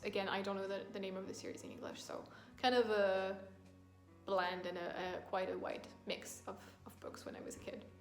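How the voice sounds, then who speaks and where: A woman with a French accent talks through a casual book-tag video, thoughtful and a little hesitant, often pausing to find her words. Her voice carries an echoey room sound, with faint music playing underneath. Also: medium pitch. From France. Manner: thoughtful, hesitant, many ums and restarts. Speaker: book vlogger.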